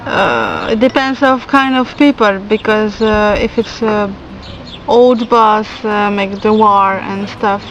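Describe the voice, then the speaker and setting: A woman from Germany answering interview questions in a halting way, with many fillers and non-native phrasing. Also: mid-range voice, expressive, highs cut off, slight background noise.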